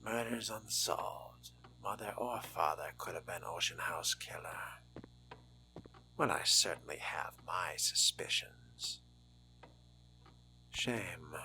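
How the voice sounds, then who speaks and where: A man voicing a brooding, sardonic vampire fledgling in a game roleplay, theatrical and courtly, often hushed and breathy, with old-fashioned phrasing. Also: sits low, exaggerated voice, low-quality recording. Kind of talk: in-character game roleplay monologue. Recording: music behind the voice.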